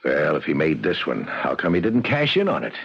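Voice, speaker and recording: An actor playing a gruff, skeptical police inspector with a gravelly voice in a 1970s radio drama. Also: male voice, rumbling low, wildly swooping pitch, fairly quick, full character voice. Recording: dull, noisy vintage radio broadcast.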